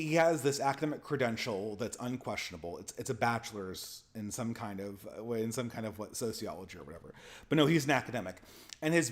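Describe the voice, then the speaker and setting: Man, nasal voice, speech impediment, halting restarts, mid pitch, casual solo commentary. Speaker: channel host.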